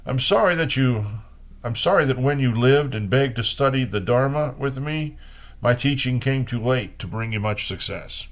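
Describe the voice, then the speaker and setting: A man reading poems aloud as host, in an unhurried way with pauses between short phrases. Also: medium pitch, animated. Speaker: poetry reader and host. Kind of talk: poetry reading with brief spoken commentary.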